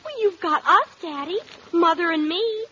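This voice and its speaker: A woman's voice: very high-pitched, moderate pace, bright, forceful tone. A woman playing an earnest, pleading teenage daughter with a girlish voice in a vintage radio comedy. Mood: bright, coaxing. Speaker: actress in a radio sitcom. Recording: muffled vintage radio with faint noise.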